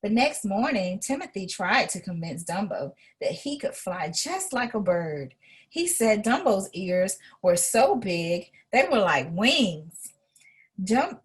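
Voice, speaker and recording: A woman reading a children's story aloud in an expressive way, as an educator would to a young listener. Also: medium pitch, slightly rough.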